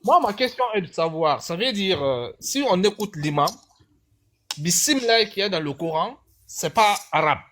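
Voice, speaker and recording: A man with a high, very lively voice hosting a live talk stream. He fires off questions and repeats them with emphasis, his pitch jumping all over. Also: normal speed. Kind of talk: live discussion.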